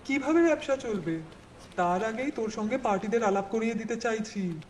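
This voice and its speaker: A man's voice: high-pitched, normal speed, natural voice. A man playing a jovial, bossy business partner in an audiobook drama, with a familiar, chatty, brusque manner. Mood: jovial, teasing.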